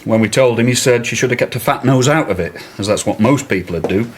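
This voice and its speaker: An actor playing a sardonic male detective who reports in a deadpan way. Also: medium pitch, fast talker, slight background noise, natural voice. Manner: deadpan storytelling.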